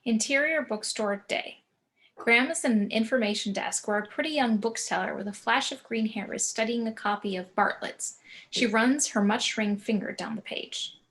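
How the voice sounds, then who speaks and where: A woman reading a screenplay's action descriptions as narrator, in a measured, descriptive way. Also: fairly high pitch, a bit bright. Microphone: video call.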